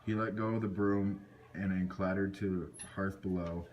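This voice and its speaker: A man's voice: low voice, fairly even intonation, moderate pace, muffled recording. A man reading scripted storybook narration for an amateur puppet show. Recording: noisy amateur recording with clicks.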